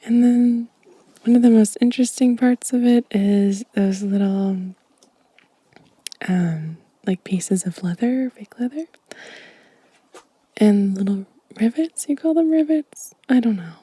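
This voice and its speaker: A woman giving a gentle, unhurried ASMR show and tell in a soft, mostly whispered, breathy voice, close to the microphone. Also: on the high side, no echo. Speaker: ASMR video host.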